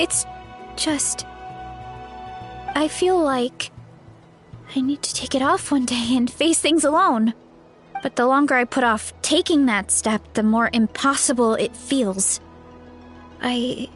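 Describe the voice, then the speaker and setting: A woman voicing an earnest, gentle, self-doubting young heroine in a video game. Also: sits high, slow, pauses often, faint music behind. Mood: hesitant, unsure. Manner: earnest, hesitant.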